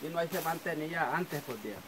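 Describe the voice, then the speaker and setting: A man's voice: fairly high pitch, lively intonation, fast talker, a bit bright, poor audio. A man telling stories casually among friends outdoors, slipping into quoted dialogue. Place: outdoors in woodland. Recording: outdoor group chatter behind.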